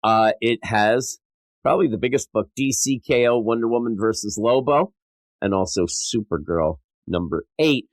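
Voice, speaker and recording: A sick, stuffed-up man hosting a podcast in a rambling, self-mocking way, his voice a little rough and wavering. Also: on the low side, normal speed, no room sound. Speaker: podcast host. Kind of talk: casual podcast monologue.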